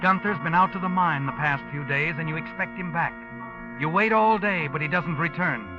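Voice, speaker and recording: A man's voice: a little high, moderate pace, lightly acted. Guy acting as creepy all-knowing american narrator, old time radio, taunting, dull sound w music. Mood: insinuating. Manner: insinuating, rhetorical, dramatic. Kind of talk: 1940s radio mystery acting, second-person narration.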